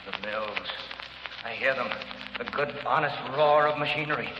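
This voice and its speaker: A man's voice: mid-range voice, moderate pace, lightly acted. An actor playing a despairing, trance-like working man in a 1930s radio drama. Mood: dreamy longing. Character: despairing, dreamy working man, half asleep, broken-spirited. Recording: muffled noisy old radio, long echoing reverb.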